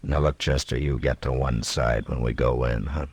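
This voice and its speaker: An American actor playing a tough, steady frontier marshal in a radio western, speaking in a dry, commanding way. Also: male voice, rumbling low, normal speed, slightly rough, exaggerated voice. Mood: wary, determined. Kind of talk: old-time radio western acting.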